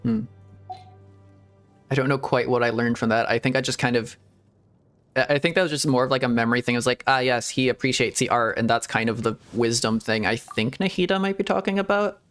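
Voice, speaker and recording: A man's voice: mid-range voice, brisk, fairly bright tone, faint music behind. A man streaming a game, chatting casually in a playful, giggly, rambling way. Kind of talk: casual gameplay commentary.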